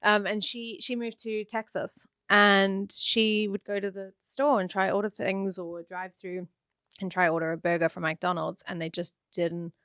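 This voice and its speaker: A woman's voice: mid-range voice, flat delivery, measured pace, no echo, clean studio sound. A woman from South Africa with a South African accent, hosting a podcast interview in a chatty, hesitant way. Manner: warm, chatty, hesitant with fillers. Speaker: podcast host.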